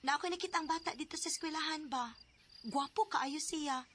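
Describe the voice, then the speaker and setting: A giddy, girlish schoolgirl in a radio drama. Her voice is high and very bright, expressive and eager, over a little background noise. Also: brisk. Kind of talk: Cebuano radio drama acting.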